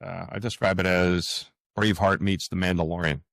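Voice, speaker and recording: A man with a low voice and a close, dry sound talks reflectively in an interview. He is earnest and halting, often doubling back on his words as he explains himself. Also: fairly even intonation, gravelly, a bit bright, no room sound. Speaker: podcast guest, fantasy writer.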